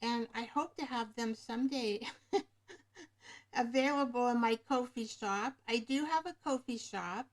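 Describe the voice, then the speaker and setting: A woman chats through a craft livestream in a slightly higher voice, taking her time and often pausing to find the right word. She speaks slowly and casually at her desk at home. Her voice is picked up by a webcam microphone above her screen. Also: low-quality recording. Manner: rambling, searches for words.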